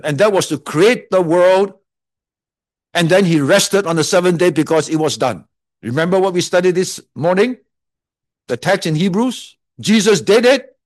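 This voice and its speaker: A pastor preaching a sermon in a conversational way, with joking asides and repeated phrases for emphasis. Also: male voice, high voice.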